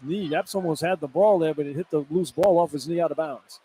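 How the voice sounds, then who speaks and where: A man's voice: on the high side, brisk, warm tone. A man giving live basketball color commentary as an analyst, conversational and opinionated. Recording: poor radio feed, clear background noise.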